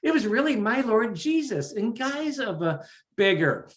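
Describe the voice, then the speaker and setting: A storyteller voicing a devout, gentle young woman, a king's daughter, in a told legend, earnest and soft-spoken. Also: mid-range voice, big pitch swings, unhurried, voice pushed up.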